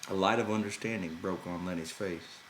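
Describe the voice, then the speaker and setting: Low-voiced man narrating in an echoey room. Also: steady tone, brisk. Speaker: audiobook reader. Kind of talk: scripted literary narration. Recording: roomy echo, faint background noise.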